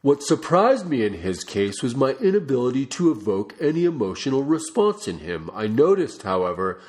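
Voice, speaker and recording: A man reading a non-fiction book aloud as a narrator, with measured, deliberate phrasing. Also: medium pitch, sing-song. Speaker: audiobook reader.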